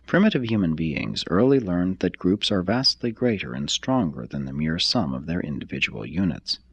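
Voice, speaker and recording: Very deep-voiced man reading formally. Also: expressive, breathy edge, no echo. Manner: measured, deliberate, formal. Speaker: audiobook reader. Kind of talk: scripted narration, formal reading of a text.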